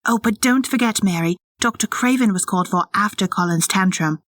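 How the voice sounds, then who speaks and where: A woman's voice: medium pitch, fairly quick, a bit bright, no echo, lightly acted. Woman as a kindly housemaid, close and dry. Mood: confiding. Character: kindly, plain-spoken young housemaid. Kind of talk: audio drama acting.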